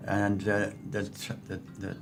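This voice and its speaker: A man's voice: on the low side, monotone, a little slow, creaky, loud music behind the voice. Plain-spoken older man. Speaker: class participant.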